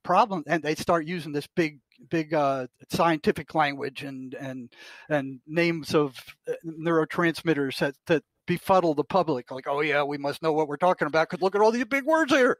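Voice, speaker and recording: A man's voice: fairly high pitch, normal speed, a bit bright, dry recording. An older man, a retired psychotherapist and podcast guest, talking bluntly and indignantly, with emphasis and some mild swearing. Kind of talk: opinionated discussion, quoting articles aloud.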